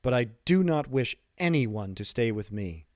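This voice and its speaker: A man's voice: mid-range voice, expressive, normal speed, a little mellow, dry recording, close to an everyday voice. Guy doing an injured sailor from a classic novel, formal and insistent, really dry recording. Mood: earnest, grateful. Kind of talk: audiobook character dialogue, literary.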